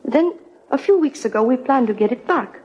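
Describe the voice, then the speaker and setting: A refined, pleading princess in exile, speaks in a husky, fairly high voice. Her phrasing is formal, halting and dramatic, on a muffled old radio recording. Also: expressive. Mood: grave, wistful.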